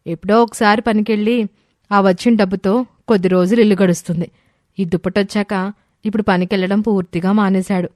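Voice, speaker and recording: A woman voicing a worried, pleading village wife, plaintive and respectful, in an animated moral story. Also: medium pitch, no echo, studio quality, pitched below the performer's own voice, lightly acted.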